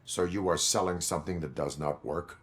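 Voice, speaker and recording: A stiff cyborg police officer speaks in flat, clipped, mechanical phrases, voiced by a man. His voice sits very low. He is calm and literal, a character in a video game. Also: a little fast, lightly acted.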